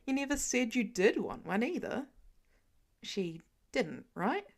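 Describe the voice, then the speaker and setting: A woman narrating a fan fiction audiobook in an expressive storytelling manner. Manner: expressive storytelling, varied pitch. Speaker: audiobook reader.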